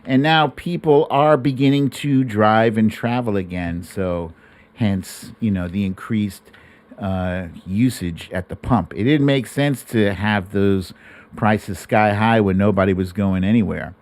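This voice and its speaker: A man's voice: lowish voice, a little slow. A middle-aged man hosting a casual livestream, chatting with viewers in colloquial, salty phrasing on a close broadcast mic. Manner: animated, colloquial, salty street-talk phrasing. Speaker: livestream host. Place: home studio room, indoors. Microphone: close broadcast mic on boom arm.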